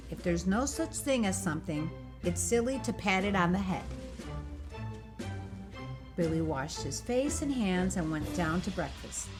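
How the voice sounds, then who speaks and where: A woman reading a picture book aloud for children, in an expressive, animated and emphatic storytelling delivery. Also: lowish voice. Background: music.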